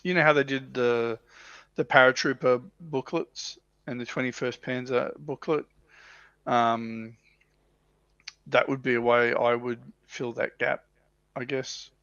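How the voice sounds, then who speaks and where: Gravelly man, dull close-up sound. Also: mid-range voice, steady tone, unhurried, fairly bright tone, no room sound. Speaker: livestream co-host. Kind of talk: casual chat about tabletop miniature wargaming.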